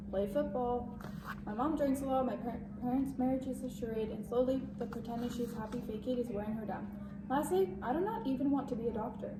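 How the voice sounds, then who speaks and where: A woman's voice: a little high, close to an everyday voice. A woman playing a sincere, pressured, romantic teenage football star in an amateur dramatic monologue. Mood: resentful. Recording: echoey, distant, very noisy room.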